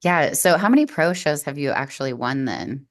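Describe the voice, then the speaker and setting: A low-pitched woman hosts an interview podcast in an upbeat, brisk way. She moves quickly from one question to the next and keeps the talk going with short, ready okays and yeahs. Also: animated. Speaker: podcast host.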